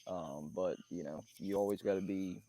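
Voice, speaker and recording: Male lead, low mellow voice, relaxed supervisor running a meeting, loose and filler-heavy. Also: normal speed, low-quality recording. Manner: easygoing, many fillers. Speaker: roleplay police supervisor. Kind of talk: casual meeting briefing.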